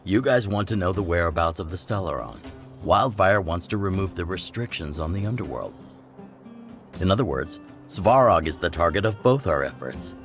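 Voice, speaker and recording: Gruff underground chief, middle-aged, extremely low voice, measured and plain-spoken authority, video game dialogue over faint music. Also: male voice, lively intonation. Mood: measured, resolute. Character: gruff older male chief of underground resistance, measured, pragmatic.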